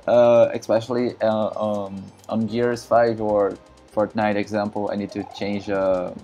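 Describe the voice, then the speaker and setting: A man from Brazil whose first language is Portuguese, chatting casually in halting English with many fillers and frequent laughs while streaming a game. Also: fairly low pitch, level tone, mellow, dull audio, soft background music. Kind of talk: casual live-stream commentary.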